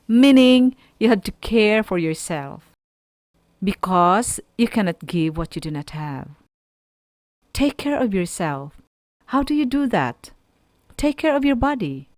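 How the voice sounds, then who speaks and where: A woman with a Filipino accent giving an instructional radio talk with tips and examples, deliberate and emphatic, repeating key phrases. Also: mid-range voice, no echo, clean studio sound. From Philippines. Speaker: speaker giving a mental health lesson on a school radio programme.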